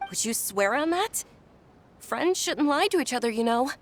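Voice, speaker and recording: A woman's voice: sits high, expressive, normal speed. A woman playing a sweet, insistent young noblewoman in an anime game, speaking politely and earnestly, with a pleading edge. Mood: concerned.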